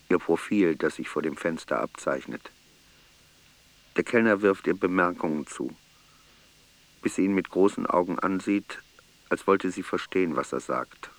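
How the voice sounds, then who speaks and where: Radio drama: ailing archaeologist reading his notebooks, deep voice, slow brooding delivery. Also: fairly bright tone. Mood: detached, observant. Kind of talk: literary radio play narration.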